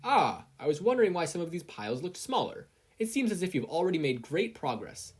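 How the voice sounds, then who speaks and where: A hearty, emphatic male hero mentor from a storybook adventure, as a reader acts him out. He is loud and formal, always encouraging, with a very lively delivery. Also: mid-range voice, a little fast, slightly rough, pitched above the performer's own voice. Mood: welcoming.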